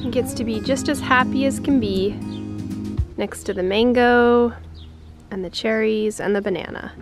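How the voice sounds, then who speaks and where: A woman's voice: a little high, moderate pace, over loud music. A woman in her thirties from the United States, chatting casually to a handheld camera outdoors on a backyard farm, laughing at herself as things go wrong. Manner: chatty, self-deprecating, laughs often. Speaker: homestead vlogger. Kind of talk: casual vlog narration. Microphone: handheld camera at arm's length.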